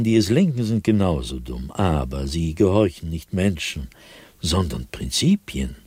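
A brooding, haunted gentleman diarist, low-voiced. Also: husky, no room sound, heavily acted. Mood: scornful, ironic. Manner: brooding, intense, theatrical, with sudden swings in emphasis. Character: haunted, agitated gentleman diarist, proud intellectual sliding into fear. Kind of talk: literary radio drama monologue, diary reading.

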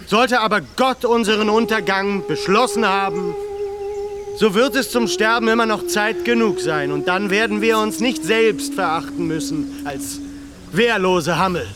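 An actor playing a resolute leader who rallies villagers to resist, with impassioned oratory, in a radio drama. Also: male voice, sits high, measured pace, loud music behind the voice. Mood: impassioned, defiant. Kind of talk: German radio drama acting.

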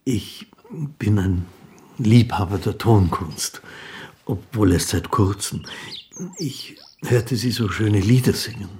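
A man's voice: fairly low pitch, a little mellow, a little hiss or hum. An actor playing a timid, courteous musician who tells his story in a hesitant, formal, literary way. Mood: shy, earnest. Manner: hesitant, halting, formally polite. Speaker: actor narrating as the title character. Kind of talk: literary radio drama, first-person narration and dialogue.

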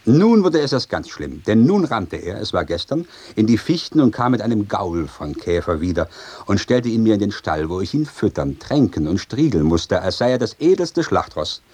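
A man's voice: lowish voice, very animated, moderate pace, darkish tone, husky. A man playing an agitated, complaining servant in a theatrical radio comedy, declaiming with exasperation and pleading. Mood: exasperated, confiding. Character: agitated, complaining male servant of a mad master.

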